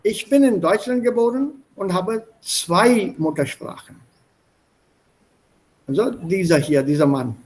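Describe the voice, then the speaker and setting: Bedächtig sprechender Lehrer mit hoher Stimme. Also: male voice, sits high, expressive, a little mellow. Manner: switches between German and English, explains slowly. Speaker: German teacher. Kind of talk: online language class. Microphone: video call.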